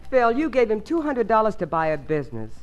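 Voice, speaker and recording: An actress playing a sensible, chiding wife in a 1940s radio comedy. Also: female voice, mid-range voice, huge pitch swings, fairly quick. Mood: reproachful. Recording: dull vintage radio recording, faint noise.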